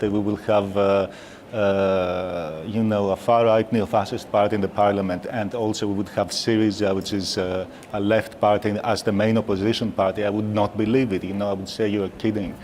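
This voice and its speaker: A man from Greece with a fairly low voice answers on a debate panel. He is relaxed and candid, laughing easily as he talks. A light hiss runs underneath. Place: debate hall in a parliament building.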